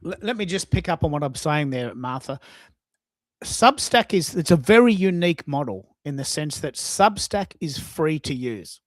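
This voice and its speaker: A man's voice: on the high side, animated, moderate pace, no echo. A man hosting a live coaching talk, repeating words for emphasis. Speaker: host and social media coach. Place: dry room, online live stream.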